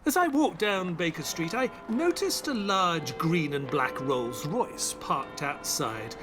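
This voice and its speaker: A man playing a polite, inquisitive English doctor in an audio drama, expressive and well-mannered. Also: fairly high pitch, takes it slowly, over music. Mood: reflective, storytelling. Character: polite, inquisitive English doctor narrating his detective adventures. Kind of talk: scripted first-person audio drama narration.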